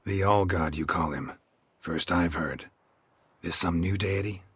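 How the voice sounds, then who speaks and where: An actor playing a gruff, dry-witted monster hunter in a video game, with a husky, deadpan, laconic delivery. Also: male voice, low voice, a little slow, no room sound, exaggerated voice. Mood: sardonic. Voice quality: husky, raspy. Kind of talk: video game character acting.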